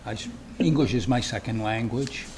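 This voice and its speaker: A man's voice: medium pitch, normal speed, noisy background. A man from Boston with a Boston accent, giving a folksy, joking acceptance speech to an audience at an event. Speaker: award acceptance speaker, trail maintainer. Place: event hall before an audience.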